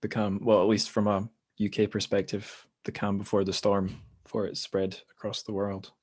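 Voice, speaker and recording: Scottish male podcast host, slightly low register, casual and rambling. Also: monotone, normal speed, darkish tone, breathy edge. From Scotland. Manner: chatty, rambling asides. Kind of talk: casual podcast chat and intro.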